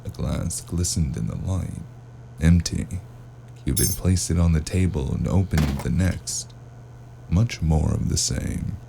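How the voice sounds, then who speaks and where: A man playing a wry, weary hard-boiled investigator who narrates and talks in a cyberpunk noir audio drama, with a deep gravelly voice. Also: measured pace, noisy background, exaggerated voice. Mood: wry narrating. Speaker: narrator and lead character.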